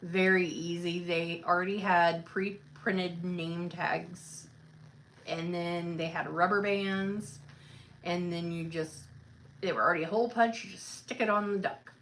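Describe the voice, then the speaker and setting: A woman in her thirties with a somewhat low voice chats casually on a cruise livestream, relaxed and matter-of-fact. A faint background hum sits under the talk. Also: a little slow, rough recording. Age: 30s.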